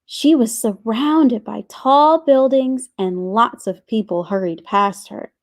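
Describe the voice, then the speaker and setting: A woman reading a children's storybook aloud with expressive, deliberate phrasing. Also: fairly high pitch. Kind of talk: scripted storybook narration.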